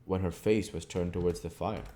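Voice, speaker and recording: A man with a very low, dark and mellow voice reads as an audiobook narrator. His delivery is measured and literary, with a soft-edged tone. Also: fairly even intonation. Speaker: audiobook reader, channel host. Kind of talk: scripted narration of a classic novel.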